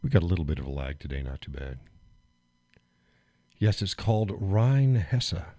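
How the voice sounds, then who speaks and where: A man hosting a live stream, chatting casually and rambling with fillers and chuckles, phlegmy and clearing his throat. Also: sits low, big pitch swings, normal speed, mellow, no room sound. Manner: rambling, chuckling, thinks aloud with many fillers. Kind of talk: casual chat reading viewer comments.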